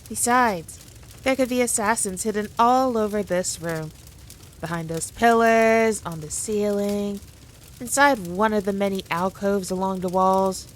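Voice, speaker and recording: A woman's voice: medium pitch, slight background noise, natural voice. A woman playing a teasing, condescending demon queen in an ASMR roleplay audio drama, taunting the listener with haughty playfulness. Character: all-powerful demon queen, smug, dominant, teasing, condescending.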